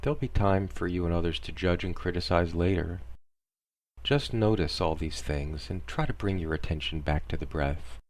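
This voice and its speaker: Low-voiced man guiding a deadpan meditation. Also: moderate pace. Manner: deadpan, soothing instructional delivery. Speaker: guided meditation narrator. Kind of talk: parody guided meditation, scripted narration.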